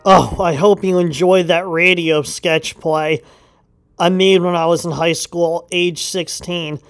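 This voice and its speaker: A man's voice: on the high side, fairly even intonation, slow, soft background music. A man hosting a solo podcast, talking in a casual way. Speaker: podcast host.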